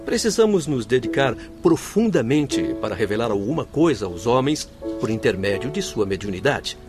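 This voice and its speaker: An actor playing an earnest, courteous doctor spirit in a Brazilian Portuguese radio drama, speaking formally and eloquently. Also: male voice, medium pitch, big pitch swings, normal speed, over loud music, natural voice.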